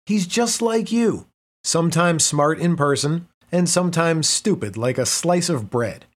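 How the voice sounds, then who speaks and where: A man reading a prepared text aloud as narration, pausing between the items of each list. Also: fairly high pitch, lively intonation. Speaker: reader. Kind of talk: scripted narration.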